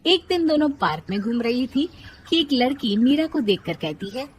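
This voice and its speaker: A woman works as a storyteller. She reads scripted narration in a fairly high voice. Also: big pitch swings, moderate pace, slight background noise.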